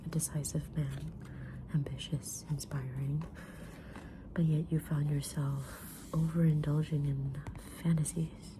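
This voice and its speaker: A woman playing a kind, mysterious tarot-reading witch in a gentle, breathy whisper for an ASMR roleplay. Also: sits low, flat delivery, background noise. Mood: knowing, soothing. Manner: gentle, deliberate, soft-spoken, drawn-out pauses.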